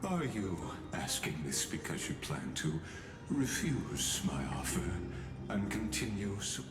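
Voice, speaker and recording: Courteous calculating envoy, low pitch, formal, measured, video game, echoey with music. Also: male voice, big pitch swings. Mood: calm, diplomatic. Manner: formal, measured, smooth-tongued. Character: courteous, calculating, neutral male envoy of high rank.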